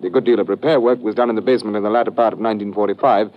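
An actor playing a courteous, methodical police inspector in a 1950s radio drama, polite and slightly hesitant. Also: male voice, fairly low pitch, steady tone, racing, natural voice. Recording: dull, noisy old radio recording.

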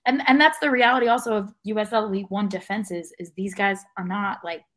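A woman's voice: medium pitch, fairly even intonation, fairly quick, a bit bright. Woman on a sports pod, wry jokes, laughs at herself. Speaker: podcast co-host. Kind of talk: casual sports chat.

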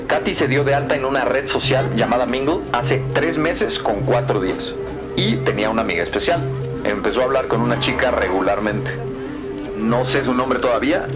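A man playing a cautious, analytical police data analyst in an audio drama, explaining things deliberately. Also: medium pitch, lightly acted.

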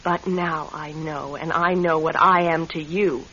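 Imperious rich wife, bright hard voice. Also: female voice, low-pitched, fairly even intonation, unhurried. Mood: cold, weary. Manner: crisp, commanding, formal. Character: imperious wealthy businesswoman wife, cold and controlling. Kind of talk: old-time radio drama acting. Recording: dull, hissy vintage radio recording.

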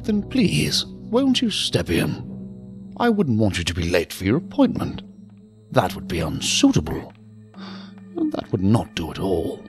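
Theatrical, menacingly polite attendant, swooping pitch. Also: male voice, huge pitch swings, a little slow, over music, heavily acted. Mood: teasing, officious. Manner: ornate, formal, mock-courteous phrasing. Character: eerie, urbane male elevator attendant in green suit, menacingly polite. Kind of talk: science fiction audio drama acting.

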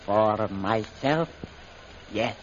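A muffled, faintly noisy vintage radio recording of a renegade general. His low voice is courteous and guarded, with formal, terse phrasing typical of adventure serials. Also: drawn-out words. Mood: grave. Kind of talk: old-time radio adventure serial acting.

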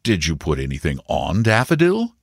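Man voicing a measured, probing grown-up uncle. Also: sits low, wildly swooping pitch, vocal fry, slightly rough, no echo, clean studio sound, full character voice. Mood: amused, probing.

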